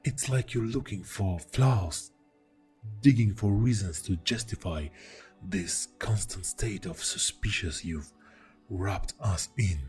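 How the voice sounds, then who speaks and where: A man playing an exasperated, defensive boyfriend in an ASMR audio roleplay, speaking in a deep, soft voice with heavy sighs. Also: faint music behind. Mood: frustrated. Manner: slow, pausing, with heavy sighs. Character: exasperated, defensive boyfriend arguing with a jealous partner.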